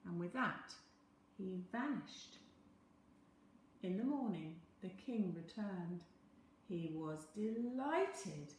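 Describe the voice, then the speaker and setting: A woman reading a children's story aloud in a dramatic, expressive manner. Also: lowish voice, slow pace, long pauses, a little hiss or hum. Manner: dramatic, animated. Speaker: storyteller, teacher. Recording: very echoey room.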